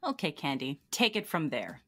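A woman playing a tough, suspicious police detective with a gruff, sardonic manner in an audio drama. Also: deep voice, wildly swooping pitch, moderate pace, bright, forceful tone, full character voice.

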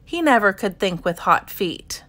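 Slow, animated woman storyteller. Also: medium pitch. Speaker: storybook reader. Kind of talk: expressive children's read-aloud narration.

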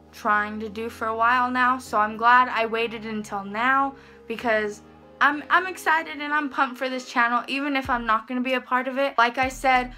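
A young woman rambling in a casual vlog from her bedroom, talking to a camera in front of her and saying "like" a lot. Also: on the high side. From Texas. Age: young adult. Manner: rambling, lots of "like", expressive hand gestures. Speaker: vlogger. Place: small bedroom, indoors. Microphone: camera in front, head and shoulders framing.

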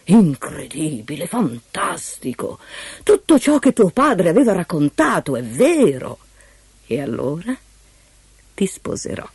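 A woman's voice: fairly low pitch, sing-song, measured pace, darkish tone, voice pushed up. Woman playing an imperious storybook king. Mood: awed. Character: commanding, greedy adult male king. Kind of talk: fairy-tale storybook character voice.

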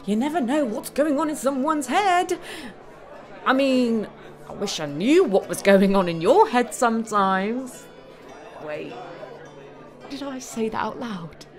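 An actress playing a wistful search and rescue pilot, telling her story in a reflective, conversational audio-play monologue with hesitant pauses. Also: on the high side, lively intonation, music in the background, a crowd in the background. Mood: tipsy, animated. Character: wistful female search and rescue bush pilot recounting a tragedy.

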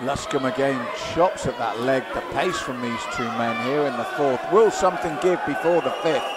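A man giving live kickboxing play-by-play commentary in an excited, emphatic, admiring way. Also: mid-range voice, moderate pace. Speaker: fight commentator. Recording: noisy live hall with loud crowd. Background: loud crowd and arena noise.

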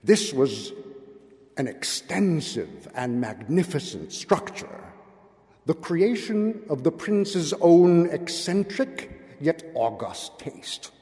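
A middle-aged man giving a dramatic literary reading with deliberate phrasing and theatrical pauses. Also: a little high, very animated, warm tone. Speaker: reader at a town meeting. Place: indoor hall, at a podium before an audience. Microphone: gooseneck podium microphone. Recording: reverberant hall.

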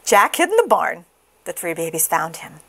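A woman reading a children's story aloud with expressive, dramatic, deliberate phrasing. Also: on the low side, creaky, slightly rough. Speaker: storybook reader.

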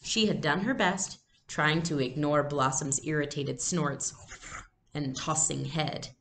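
A young adult woman giving a read-aloud lesson, explaining things deliberately as a teacher. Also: low voice, fairly bright tone. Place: small room at home. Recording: noisy home webcam recording.

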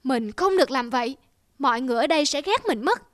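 A woman's voice: high-pitched, animated, fast talker, no room sound, studio quality. A woman voicing a stubborn, proud young wolf in a cartoon fable, indignant and declamatory. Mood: dejected.

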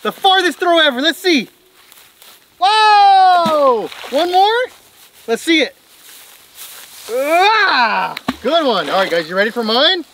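A woman narrating a family vlog from behind the camera on a farm, excitedly cheering her kids on as they play. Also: high-pitched, takes it slowly, long pauses, slight background noise. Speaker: mother and family vlogger. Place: outdoors on a farm, barn and lakeside.

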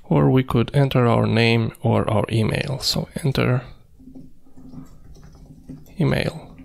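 Very gravelly fry, man, medium pitch, tutorial narration, halting, thinking aloud at the computer. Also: steady tone. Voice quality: creaky, gravelly. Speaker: coding tutorial presenter. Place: indoors at a computer, screen recording.

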